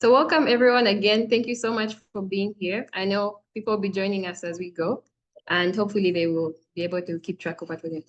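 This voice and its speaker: A woman's voice: medium pitch, steady tone, quick pace, muffled recording. A young woman from Zambia hosting an online application workshop, friendly and chatty with frequent fillers, over a video call. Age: young adult. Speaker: workshop host. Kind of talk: online presentation.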